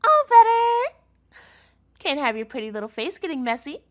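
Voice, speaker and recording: Woman acting high-voiced, sugary, menacing yandere girlfriend. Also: very animated, moderate pace. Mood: coaxing. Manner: sugary, coaxing, turning threatening. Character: obsessive yandere chef girlfriend, sweet but menacing. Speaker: voice actor in audio roleplay. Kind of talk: scripted intimate audio roleplay acting, addressed to the listener.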